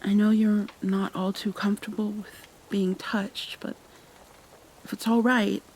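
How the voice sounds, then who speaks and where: ASMR roleplay: actress as possessive yet tender female captor, haunted, coaxing, halting, breathy whispers, very unhurried, mid pitch, rain noise behind. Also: level tone, lightly acted, rough recording. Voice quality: soft, breathy, often dropping into whispers. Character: tender, obsessive female kidnapper soothing her captive, haunted, possessive. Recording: clear background noise, rain ambience.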